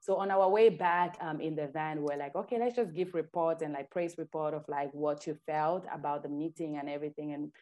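A woman speaking as an interview guest, telling personal stories in an earnest, expressive, conversational way. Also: sits low, a little fast, hardly any pauses. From Cameroon. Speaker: interview guest, ministry leader.

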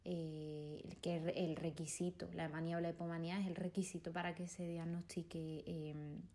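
A woman psychologist giving measured explanations in an interview, with some hesitation fillers. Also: on the low side, flat delivery, mellow.

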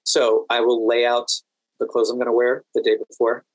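Man explaining in a measured way, dry sound. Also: mid-range voice, monotone, no room sound, poor audio. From United States, Washington DC area. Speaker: podcast guest, standardized patient and exam event administrator. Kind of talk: interview answers, explanatory.

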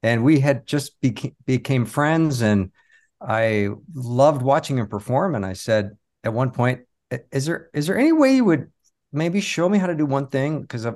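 A man's voice: mid-range voice, a little mellow. Guy guest on a podcast, medium voice, earnest reflective storytelling. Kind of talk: casual storytelling in an interview.

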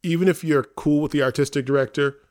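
A man's voice: a little high, fairly even intonation, quick pace, a little mellow. A man with an American accent chatting on a podcast as a theatre artistic director, in an informal, self-deprecating, rambling way. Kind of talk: casual conversational podcast talk.

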